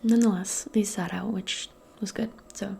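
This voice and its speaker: A woman role-playing in soft-spoken ASMR, speaking gently and soothingly in a breathy, mostly whispered voice. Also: mid-range voice, fairly even intonation, slight background noise. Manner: gentle, soothing, unhurried, conversational. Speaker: ASMR performer role-playing a modern witch.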